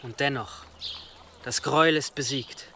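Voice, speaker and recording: A man's voice: medium pitch, unhurried, close to an everyday voice. Deferential medieval adviser, male actor, noisy outdoors. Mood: deferential, calm. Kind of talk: German radio drama acting. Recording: clear outdoor nature sounds behind.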